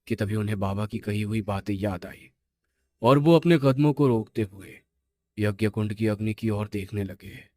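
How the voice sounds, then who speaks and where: Casting: male horror storyteller, scripted read. Lowish mellow voice, dry recording. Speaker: narrator.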